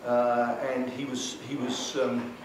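Halting man heard from far off. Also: medium pitch, little pitch movement, background noise. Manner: halting, many fillers and restarts. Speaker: conference speaker. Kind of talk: conference panel discussion. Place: conference hall. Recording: echoey hall from far off.

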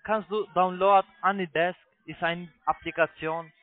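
A man with a foreign accent, not a native speaker, making a halting sales pitch over the phone in broken sentences with repeated restarts. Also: fairly even intonation, dry recording, soft background music. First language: not German. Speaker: phone sales agent, investment scam caller. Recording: tinny narrow phone line.

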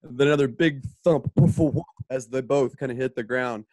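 A man's voice: medium pitch, moderate pace, a little mellow, dry recording. A man narrating a radio play, casually describing the action and sound effects as he goes. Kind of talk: casual live narration of stage directions and sound cues. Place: home, remote video call.

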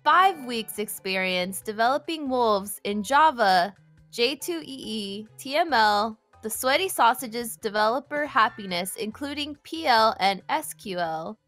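A woman's voice: a little high, animated, unhurried, fairly bright tone, no room sound, faint music behind. A woman chatting casually and reading silly text aloud during an online group game. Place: online voice call.

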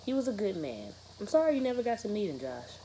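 A serious mother laying down the rules to her teenage son, acted by a woman reading aloud. The tone is mellow and firm, in a storybook style with faint noise. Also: mid-range voice, big pitch swings, fast talker, pitched above the performer's own voice, lightly acted, low-quality recording. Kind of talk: children's novel read-aloud.

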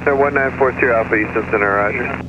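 A man's voice: mid-range voice, fast talker. A man working as an air traffic controller, giving clipped, routine radio calls. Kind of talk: radio phraseology. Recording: muffled radio transmission with engine noise.

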